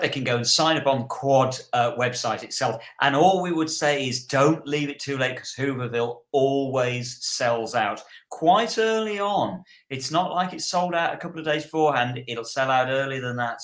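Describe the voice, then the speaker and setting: A man talks casually as a guest on a podcast. His voice is mid-pitched, and the recording has a strong echo from the room around him. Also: moderate pace, fairly bright tone. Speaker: podcast guest, fan group admin. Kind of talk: casual conversation. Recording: echoey, reverberant room sound.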